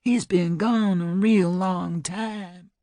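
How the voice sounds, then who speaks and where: A male narrator voicing a frail woman over ninety who speaks slowly and softly as she remembers. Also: sits high, steady tone, no room sound, voice pushed up. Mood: wistful. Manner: slow, soft, unhurried drawl.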